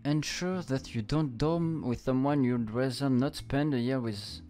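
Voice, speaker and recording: A man's voice: mid-range voice, slow, dark tone. A young adult man giving casual gameplay commentary, translating on the fly in informal, slangy speech peppered with English words. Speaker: streamer playing a visual novel. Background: game music.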